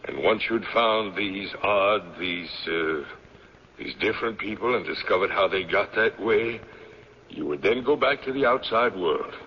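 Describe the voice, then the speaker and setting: An actor playing a stern, suspicious old mountain patriarch in a radio drama, commanding and deliberate, with a gravelly voice. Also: male voice, on the low side. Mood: stern, interrogating. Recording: dull, noisy old radio recording.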